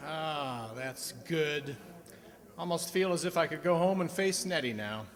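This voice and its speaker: A man's voice: fairly high pitch, animated, slow pace. A man playing a weary middle-aged husband who complains and sighs, in old-time radio drama style. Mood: weary, complaining. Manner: complaining, sighing. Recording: echoey hall stage recording.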